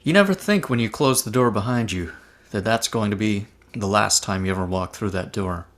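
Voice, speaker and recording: A man's voice: fairly low pitch, moderate pace, a bit bright, lightly acted. A man in an amateur table read playing an earnest, sentimental ghost of a husband. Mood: wistful. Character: earnest, sentimental male ghost reminiscing about his wife. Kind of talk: amateur table read acting over video call.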